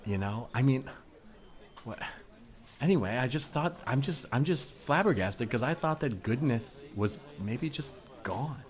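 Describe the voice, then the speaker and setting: A man's voice: mid-range voice, animated, unhurried, lightly acted. A man playing a needy, over-eager young woman in improvised comedy, talking in a hesitant, rambling, awkward way. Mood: flabbergasted, grateful.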